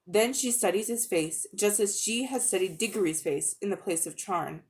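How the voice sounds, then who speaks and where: A woman reading a children's story aloud in an expressive, scripted narrator's style. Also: medium pitch, moderate pace.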